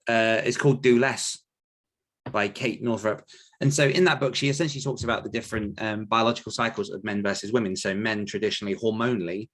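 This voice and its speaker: Give a man with a fairly low voice in casual podcast talk. He works through his answers aloud, circling around a point in a rambling, conversational way as a host and coach. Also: steady tone, fast talker, a bit bright.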